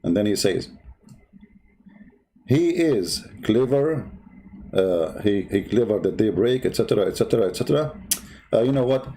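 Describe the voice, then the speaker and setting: A man's voice: lowish voice, lively intonation, moderate pace, long pauses, warm tone, slight background noise. A man hosting a polemical commentary, mocking and insulting in an emphatic way, who sometimes hums to himself. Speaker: debate host. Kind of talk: polemical commentary over a video.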